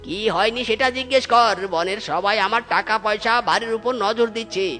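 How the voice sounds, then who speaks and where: A man's voice: high voice, brisk, pushed and bright. A man voicing a miserly, suspicious, irritable old man in a comic cartoon, exclaiming and grumbling to himself. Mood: scheming. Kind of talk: Bengali comic cartoon dialogue. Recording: music bed behind the voice.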